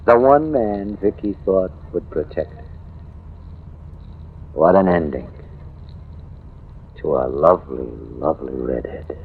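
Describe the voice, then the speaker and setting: A man's voice: low-pitched, lively intonation, slow pace, pauses often. A man acting a grave figure wrapping up a murder mystery in a vintage radio drama. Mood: grave, wistful. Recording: very muffled, noisy old radio recording.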